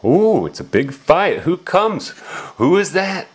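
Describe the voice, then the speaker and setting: Animated man reading slowly to a child. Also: a little high, husky. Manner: simple child-directed talk, exclaiming and explaining words. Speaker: adult reading aloud to a young child. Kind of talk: animated picture-book read-aloud with questions to a child.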